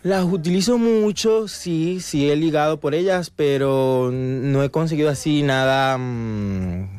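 A man's voice: on the high side, measured pace, darkish tone, clean tone. A man with a Latin American Spanish accent giving casual, hesitant answers as an interview guest. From born in Venezuela, raised in Colombia.

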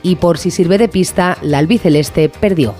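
A woman reporter reading a scripted news voice-over with crisp delivery. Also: fairly low pitch, normal speed, over loud music.

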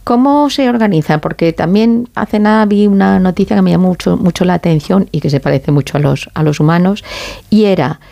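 Creaky, mellow female interviewer. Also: lowish voice, brisk. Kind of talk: casual interview questioning.

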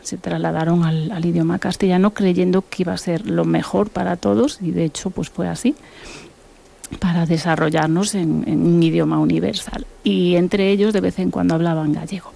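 A woman from Buenos Aires, a musician and author, talking in a relaxed interview and circling back with repeated words as she thinks. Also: fairly low pitch, normal speed, gravelly. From Argentina (Buenos Aires).

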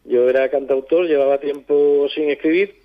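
A man, speaking reflectively as an interview guest, halting as he searches for words. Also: fairly high pitch, steady tone, narrow, tinny audio. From southern Spain. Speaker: poet and songwriter guest.

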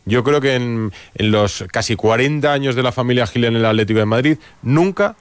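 A man's voice: medium pitch, fairly quick, clean tone, dry recording. A man with a Spanish accent hosting a late-night radio sports show, giving firm, opinionated takes. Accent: Spanish (Spain). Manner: emphatic, opinionated, restates points.

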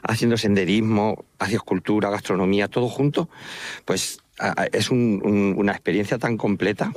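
A man's voice: lowish voice, moderate pace. A middle-aged man from La Mancha with a Manchego accent, a travel agency founder talking loosely with many fillers while walking outdoors. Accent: Manchego Spanish. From Spain, La Mancha. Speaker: pilgrimage travel agency founder, phone guest. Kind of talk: casual radio interview. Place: walking outdoors along an estuary.

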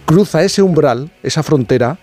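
A man's voice: a little high, expressive, moderate pace, a little mellow, soft background music. A man hosting a radio talk show, explaining study figures in a conversational way. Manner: explains data conversationally, with restarts. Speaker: radio host.

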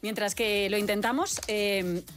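A woman, hosting a live radio chat in a lively, chatty way. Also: mid-range voice, a little slow, soft background music. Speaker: radio host.

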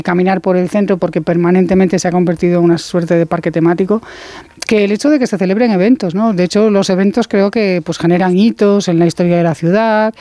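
Radio panelist, woman, warm low tone, dry studio mic, thoughtful and hesitant. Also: no room sound. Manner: thoughtful, full of fillers. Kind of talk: casual opinion chat.

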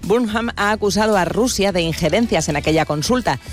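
A man reading the news as a radio anchor in a measured, formal way. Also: sits high, soft background music.

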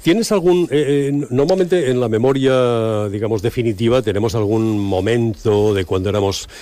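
Smooth, teasing male radio host. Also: mid-range voice, normal speed, warm tone. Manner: smooth, teasing, jokey with guest. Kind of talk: scripted intro then chatty interview. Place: radio studio. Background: faint music.

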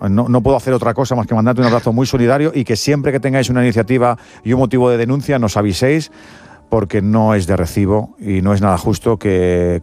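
A man's voice: lowish voice, warm tone. A man with a Castilian Spanish accent hosting a live sports radio show, speaking emphatically and repeating words as he searches for phrases. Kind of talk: live radio interview and commentary.

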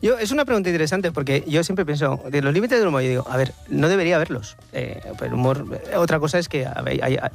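A man speaking as a comedian on a radio talk show, reflective and halting as he searches for words. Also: mid-range voice, gravelly. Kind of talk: discursive opinion in radio talk.

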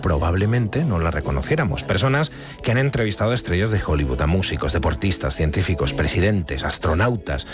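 A man's voice: deep voice, expressive, a little fast, over music. A man with a peninsular Spanish accent hosting a casual, conversational radio interview.

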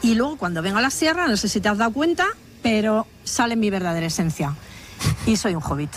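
A woman's voice: medium pitch, animated, fast, faint music behind. A woman in her fifties with a Madrid accent, telling her story with humor in an outdoor radio interview. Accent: Madrid Spanish. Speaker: interviewee, biology teacher. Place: village square outdoors.

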